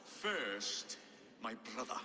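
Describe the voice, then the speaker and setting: A man's voice: mid-range voice, big pitch swings, very slow, sharp tone, exaggerated voice. An actor playing a proud, vengeful king in a video game, grave and menacing, his words ringing in a hall. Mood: defiant. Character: proud, vengeful Briton king, a grown man. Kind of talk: dramatic game cutscene acting. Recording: long reverberant hall echo.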